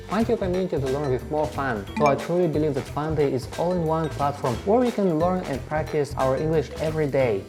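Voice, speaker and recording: A man hosting a street interview, asking quick questions and nudging the guest along with short replies. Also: fairly high pitch. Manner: brisk, eager interviewer with short back-channel replies. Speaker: host. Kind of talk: street interview and sponsor read.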